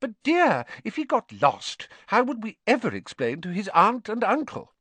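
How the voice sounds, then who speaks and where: A man reading aloud voices a fretful, coaxing middle-aged mother witch in a high, lilting voice. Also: wildly swooping pitch, normal speed, fairly bright tone, breathy edge, dry recording, heavily acted. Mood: fussing.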